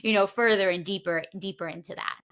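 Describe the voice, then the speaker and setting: Bright Honduran-born woman podcast host. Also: medium pitch, brisk. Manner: warm, hesitant, many fillers. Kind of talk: casual podcast chat.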